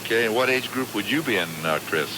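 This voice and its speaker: Male call-in radio host over clear noise. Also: mid-range voice, expressive, fast talker. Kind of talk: live radio call-in hosting. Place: radio studio. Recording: noisy live radio broadcast.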